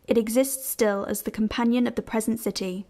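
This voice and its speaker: A woman narrator reading scripted, literary prose in a deliberate, composed way. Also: a little high, steady tone, warm tone.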